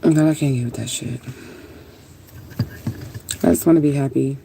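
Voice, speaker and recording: A woman's voice: extremely low pitch, fairly even intonation, normal speed, vocal fry, mellow, a little hiss or hum. A woman chatting casually on a livestream and answering viewers in a rambling, laid-back, sometimes profane way. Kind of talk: casual live chat, answering viewer comments.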